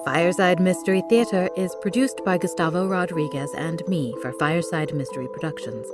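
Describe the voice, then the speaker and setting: Woman podcast host reading credits, lowish deep voice, chimey music going behind her. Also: fairly quick. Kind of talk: scripted podcast outro. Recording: clear music bed under the voice.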